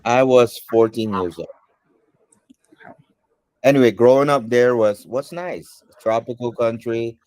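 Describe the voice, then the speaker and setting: A man from Suriname whose first language is Dutch, answering interview questions in an unhurried way, with many ums and pauses. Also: medium pitch, darkish tone, dry recording, poor audio.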